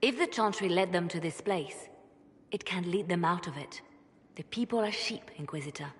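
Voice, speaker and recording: An actress playing a composed, devout, pragmatic spymaster in a video game, speaking in a measured, earnest way. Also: female voice, fairly low pitch, lively intonation, close to an everyday voice. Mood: grave, insistent. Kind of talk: video game cutscene dialogue. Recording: long reverb, faint background noise.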